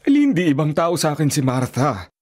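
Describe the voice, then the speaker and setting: An actor playing an elderly, steadfast father in love in a Filipino radio drama, speaking haltingly and placatingly. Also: on the high side, lightly acted. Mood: earnest, firm. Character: elderly widely-disapproved lover, a pleading, steadfast father.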